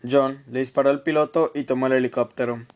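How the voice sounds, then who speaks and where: A man's voice: mid-range voice, steady tone, quick pace, darkish tone. Male narrator of an action radio drama.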